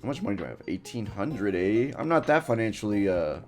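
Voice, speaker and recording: Deep voiced guy chilling through a game, reading item text, faint game music. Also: sing-song, brisk, darkish tone. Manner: laid-back, reads item text aloud. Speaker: gaming channel host. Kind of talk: casual let's play commentary.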